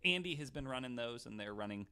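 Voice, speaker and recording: A man hosts a casual chat show in an easygoing, joking way. His voice sits at an average pitch and moves around expressively as he banters. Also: expressive, fast talker, sharp tone, clean tone. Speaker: podcast host.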